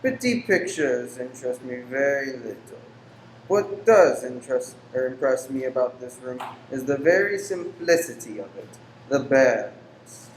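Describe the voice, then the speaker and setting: An actor in a radio play playing a weary, pious, secretive lodger who is formal, exacting and easily angered. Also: male voice, medium pitch, lively intonation, moderate pace, lightly acted. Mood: pious, solemn. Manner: formal, exacting, abrupt then apologetic. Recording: roomy echo with faint background noise.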